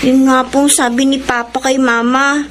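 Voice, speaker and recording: An actress playing a polite, sympathetic young daughter in a Filipino radio drama, sounding childlike. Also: female voice, high-pitched, flat delivery, normal speed, close to an everyday voice. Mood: worried, sad. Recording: slightly noisy radio drama ambience.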